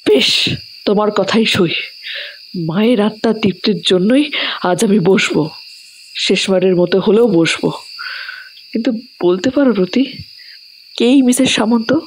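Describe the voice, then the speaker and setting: Slow, drained female medium for horror audio drama, reluctant and strained, noise behind. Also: a little high, rough recording. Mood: agitated.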